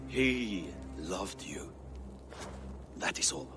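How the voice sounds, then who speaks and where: A weary old Viking king in a video game drama. His voice is low and slow, grave with regret, over music. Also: lively intonation, full character voice. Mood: sorrowful, firm. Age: older. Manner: grave, measured.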